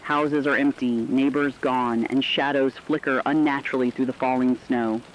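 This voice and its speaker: A man acting as a solemn radio reporter, relaying eerie accounts in a measured, formal and foreboding horror narration. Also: mid-range voice, steady tone, a little hiss or hum, lightly acted, poor audio.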